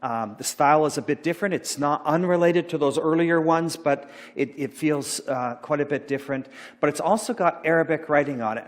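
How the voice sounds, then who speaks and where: Middle-aged guy preaching off slides, lots of ums and restarts, big reverby church hall. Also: on the high side. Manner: rambling, halting, many fillers and restarts. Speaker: pastor. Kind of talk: informal sermon talk about slides. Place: church sanctuary.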